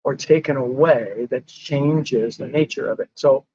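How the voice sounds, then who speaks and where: A man's voice: mid-range voice, unhurried, mellow, muffled recording, a little hiss or hum. A man in a casual podcast conversation, explaining whole foods in a patient way and laughing often. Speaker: lifestyle medicine advocate.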